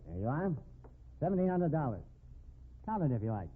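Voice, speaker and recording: An actor playing a defiant, scornful blackmailer who blusters through a 1950s radio drama. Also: male voice, on the high side, sing-song, fairly quick, breathy edge. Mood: grudging. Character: defiant, sneering male blackmailer, slippery crook. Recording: narrow phone-like old radio recording.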